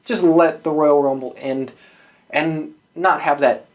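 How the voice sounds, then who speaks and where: A man with a voice pitched a little above average, talking like an opinionated fan hosting his own show. He speaks casually and swears freely as he lays out his views. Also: steady tone, slow. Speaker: host of a wrestling predictions show.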